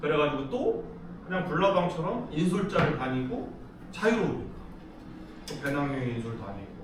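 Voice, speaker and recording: A man's voice: on the high side, big pitch swings, moderate pace, far from the mic, background noise. A middle-aged man from Seoul giving a casual, chatty lecture, telling stories with frequent laughs. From Seoul, South Korea. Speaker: lecture speaker. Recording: echoey hall, speaker off-mic.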